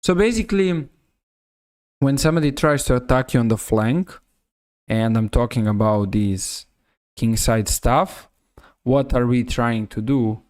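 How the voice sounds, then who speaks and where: A man with a Serbian accent giving live chess commentary on a stream, halting and often rephrasing himself. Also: medium pitch, darkish tone, smooth, no echo, studio quality.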